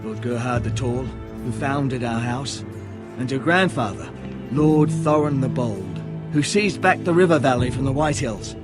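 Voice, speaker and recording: An actor playing a learned, loyal castle advisor in a video game drama, formal and measured, giving counsel. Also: male voice, medium pitch, lively intonation, loud music behind the voice, close to an everyday voice. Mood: solemn counsel.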